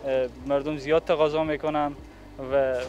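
Young man, higher-pitched, formal but halting interviewee, slightly muffled behind a mask. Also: level tone, a little mellow. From Afghanistan. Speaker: factory representative being interviewed. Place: large indoor factory hall. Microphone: handheld reporter microphone held toward him.

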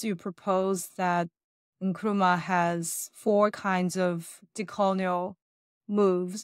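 A woman's voice: medium pitch, no echo, clean studio sound. A woman podcast host carefully posing an interview question.

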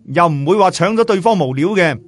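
A man's voice: sits high, expressive, brisk, faint music behind, voice pushed up. A man voicing a confident, assertive man who proposes a contest in an audiobook story. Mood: confident, proposing.

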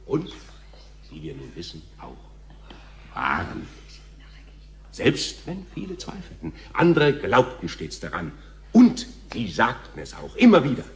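A man's voice: medium pitch, huge pitch swings, slight background noise. Pompous showman host played by a man, swooping delivery. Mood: grandiose. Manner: theatrical, grandiose, dramatic pauses. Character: showy, pompous male TV talk show host. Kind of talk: radio drama acting.

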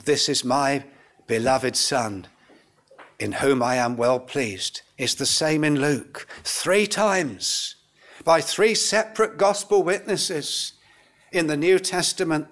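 An older man preaching a sermon in an earnest, solemn, deliberate way, in short phrases with pauses. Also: medium pitch, a bit bright. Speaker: pastor. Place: indoors, church pulpit. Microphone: lectern gooseneck microphone.

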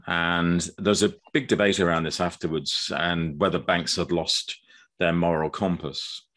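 Older English guest author, very low voice, measured video-call explainer. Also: male voice, steady tone, fairly bright tone. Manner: measured, explanatory, with frequent ums. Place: dim room indoors.

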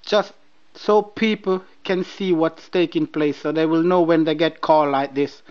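A Nigerian man with a Nigerian accent, bluntly and mockingly confronting a scam caller in slow, deliberate speech. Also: fairly high pitch, fairly even intonation, clear, resonant tone, muffled recording. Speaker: person baiting a scam caller. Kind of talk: confrontational phone call.

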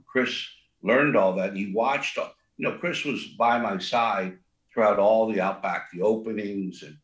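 A man's voice: low voice, reverberant. An older man, a restaurant co-founder, talks in a rambling way with many fillers and restarts and a big voice. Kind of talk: casual interview talk.

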